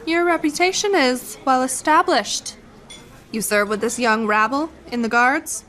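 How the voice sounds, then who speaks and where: A woman's voice: high-pitched, measured pace, a bit bright. A woman playing a haughty, scheming noblewoman, imperious and condescending, in a radio drama. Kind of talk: radio theatre acting.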